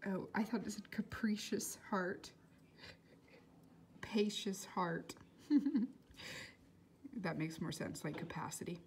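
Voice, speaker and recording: A woman reading a storybook aloud as an expressive narrator. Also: medium pitch, slow, pauses often, slight background noise.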